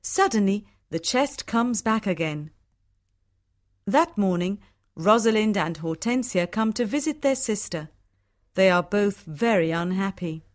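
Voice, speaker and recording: Unhurried woman narrating a fairytale. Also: mid-range voice, expressive, dry recording, clean studio sound. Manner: measured, simple short phrases. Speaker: storyteller. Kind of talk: scripted fairytale narration for English learners.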